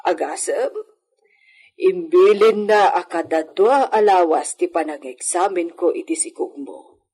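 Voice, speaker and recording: An actress playing an attentive, unhurried woman who attends a pregnancy in a radio drama, with a husky voice. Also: fairly low pitch, mellow. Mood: caring, explanatory.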